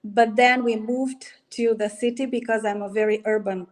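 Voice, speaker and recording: A woman speaks English as an in a fairly high voice. She talks hesitantly, searching for words with plenty of fillers, and laughs easily as an interview guest. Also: steady tone, moderate pace. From Romania. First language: Romanian. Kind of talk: casual interview.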